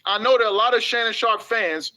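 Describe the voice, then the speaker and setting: A man's voice: high-pitched, fast talker, pushed and bright. A man reading a news article and statement aloud, breaking off for sarcastic rhetorical questions.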